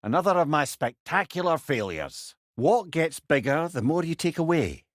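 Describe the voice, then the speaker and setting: A man's voice: a little high, very animated, moderate pace, no room sound, clean studio sound. An actor playing a witty, learned old male sage in a video game, with a Scottish accent and a wry, eloquent way of talking. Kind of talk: video game character dialogue.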